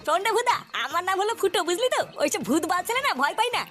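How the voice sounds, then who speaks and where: A woman's voice: very high voice, expressive, racing, fairly bright tone, faint music behind. A woman voicing a cocky, irritable young village man in a comic cartoon, brash and full of complaints. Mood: cocky, boastful.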